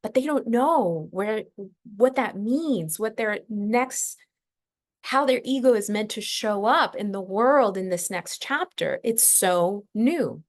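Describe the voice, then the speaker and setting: A woman with an average-pitched voice gives an unscripted spiritual lesson as a podcast host. She is reflective and emphatic, stressing her points and leaving deliberate pauses inside her sentences as she thinks them through. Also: animated.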